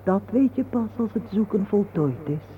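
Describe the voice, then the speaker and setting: A man acting a sorrowful, patient parent in a radio drama, speaking in a solemn, poetic, measured way. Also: high-pitched, expressive, breathy edge, full character voice. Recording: narrow phone-like old radio recording, faint hiss.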